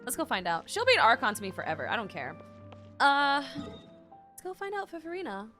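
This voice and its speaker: A woman streamer giving casual, expressive and gushing reactions while playing a game. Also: high-pitched, brisk. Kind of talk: casual gaming reaction commentary. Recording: game music behind.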